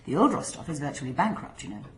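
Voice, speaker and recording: An actress playing a worldly, imperious married countess, arch and drily mocking, in a radio drama. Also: female voice, deep voice, big pitch swings, quick pace. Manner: arch, cool, commanding.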